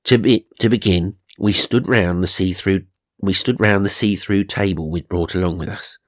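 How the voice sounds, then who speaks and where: A man's voice: low-pitched, steady tone, moderate pace, dry recording. A man narrating supernatural magazine stories for comedy, mock-serious and dramatic, with wry asides. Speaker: narrator and host.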